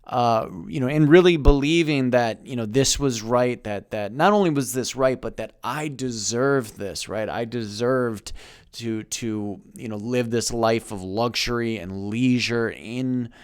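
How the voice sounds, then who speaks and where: A man in his thirties speaks straight to his viewers, stopping and restarting often and leaning on fillers. His voice is smooth and clear, and the sound is dry and close, with little room around it. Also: medium pitch, dry recording. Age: 30s. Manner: halting, many fillers and restarts. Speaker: YouTuber. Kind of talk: personal vlog monologue.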